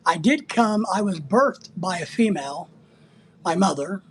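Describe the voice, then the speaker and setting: A livestream host from the United States with a husky voice, chatting casually and bluntly while teasing viewers and rambling through answers to their comments. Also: mid-range voice, lively intonation, measured pace, a little hiss or hum, low-quality recording. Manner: blunt, teasing, sometimes breaking into playful exclamations.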